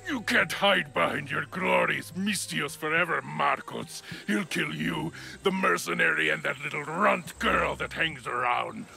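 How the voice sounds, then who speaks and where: An actor playing a middle-aged debt enforcer in a video game, gravelly, blunt and threatening. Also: male voice, a little high, unhurried, few pauses, bright, forceful tone, heavily acted. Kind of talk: video game cutscene acting.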